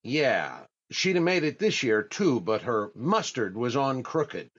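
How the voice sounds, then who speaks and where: Male actor as hesitant, fussy comedy shopper, old-time radio style, animated delivery, lo-fi sound. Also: medium pitch, slow pace, full character voice. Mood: wistful. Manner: hesitant, dithering. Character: fussy, indecisive, penny-careful male shopper.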